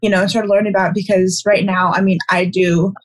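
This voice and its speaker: A woman's voice: medium pitch, little pitch movement, a little fast. A young woman, a college student, hosting a podcast and interviewing a guest in an upbeat way. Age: young adult. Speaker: podcast host.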